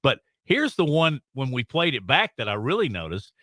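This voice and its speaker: A man's voice: medium pitch, sing-song, moderate pace, pushed and bright, no echo. A Southern man co-hosting a sports talk show in a studio, indignant and mocking, laughing often. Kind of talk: casual chat. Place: radio studio.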